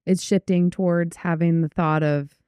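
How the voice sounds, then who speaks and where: A woman's voice: lowish voice, steady tone, moderate pace, mellow, clear, resonant tone, no room sound, studio quality. A woman co-hosting a podcast in casual conversation. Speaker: podcast co-host. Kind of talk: casual chat.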